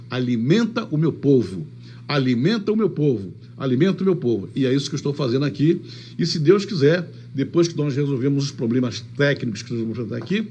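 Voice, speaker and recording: A man's voice: a little high, a little mellow, slight background noise. A man preaching fervently in a livestream sermon, repeating blessings and greeting viewers. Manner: fervent, repeats blessings, switches into Spanish phrases. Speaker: apostle preaching online. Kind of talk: livestream sermon with shout-outs.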